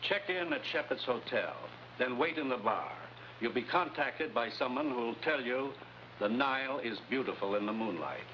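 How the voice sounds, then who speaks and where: An actor playing a grave, authoritative commissioner giving firm, clipped orders in a 1950s television spy adventure. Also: male voice, medium pitch, lively intonation, moderate pace, natural voice. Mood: grave, commanding. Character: grave, authoritative commissioner briefing his agent. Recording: muffled old TV soundtrack, hissy noise.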